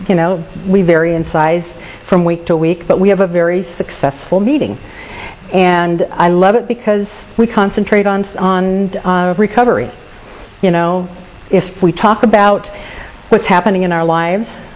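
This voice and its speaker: A woman's voice: on the low side, darkish tone, poor audio. A woman speaking candidly and earnestly about her recovery, with touches of self-deprecating humor. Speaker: recovery meeting member. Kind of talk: personal recovery share.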